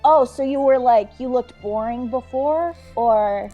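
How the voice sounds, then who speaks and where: A woman playing a tabletop role-playing game, talking casually and improvising in a halting, rambling way. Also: fairly high pitch, dull audio, soft background music.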